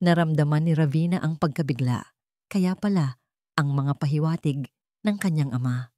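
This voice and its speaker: A woman's voice: sits low, normal speed, warm tone, no room sound, clean studio sound. A woman reading a scripted dramatic story as its narrator.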